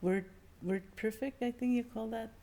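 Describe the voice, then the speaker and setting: A woman in her sixties, a community elder from Canada, giving brief, soft-spoken interview answers. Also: fairly high pitch, fairly even intonation, moderate pace. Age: 60s.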